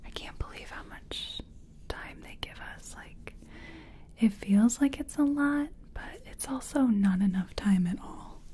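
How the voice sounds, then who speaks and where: A woman softly whispering in an ASMR roleplay as a makeup artist, breathy and gentle, with a hesitant, murmuring delivery. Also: a little high, a little slow. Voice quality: breathy, mostly unvoiced whisper.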